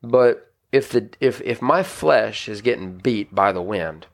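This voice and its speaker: A man's voice: lowish voice, animated, measured pace, vocal fry. A married man, a pastor, teaching scripture on a podcast in an earnest, conversational way with many restarts. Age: adult, married. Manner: earnest, conversational, frequent restarts and "you know".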